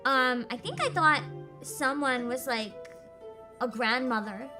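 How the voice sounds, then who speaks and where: A woman hosting a casual chat stream, telling embarrassing stories in a high voice and laughing often. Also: slow. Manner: giggly, laughs often, animated storytelling. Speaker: VTuber streamer host. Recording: music playing behind.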